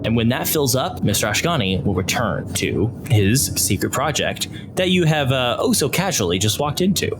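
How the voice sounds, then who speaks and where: A man narrating a tabletop game as game master, describing scenes in a relaxed, descriptive way. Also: medium pitch, normal speed, background noise.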